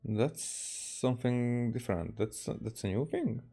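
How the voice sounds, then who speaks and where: A man from Hungary, a native Hungarian speaker, doing casual gameplay commentary and reading text aloud haltingly. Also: medium pitch, lively intonation, mellow, breathy edge, faint music behind. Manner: halting, re-reads stumbled words. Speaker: game streamer.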